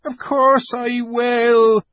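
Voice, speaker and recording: A man voicing a timid, weeping male faun who pleads slowly and frets. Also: very high-pitched, flat delivery, fairly bright tone, no echo, pitched above the performer's own voice, exaggerated voice. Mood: anxious. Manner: fretful, pleading, slow and drawn out.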